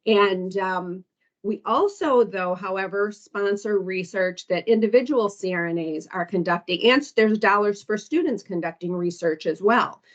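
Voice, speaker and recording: A woman's voice: mid-range voice, unhurried. A woman on a conference call walking through slides for an orientation, hesitant and full of ums as she reads down lists. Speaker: foundation staff presenter. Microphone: conference call audio. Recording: dull lo-fi call recording.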